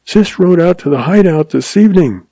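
A man's voice: high-pitched, lively intonation, moderate pace, mellow, no echo, pitched above the performer's own voice. A man voicing a weak, feverish wounded young man in a western story.

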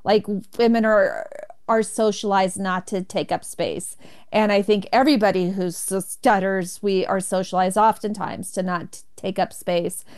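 A woman's voice: medium pitch, moderate pace. Candid woman who stutters. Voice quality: stutters, with repeated opening sounds. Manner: candid, animated storytelling. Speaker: comedian and author, guest. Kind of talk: interview conversation.

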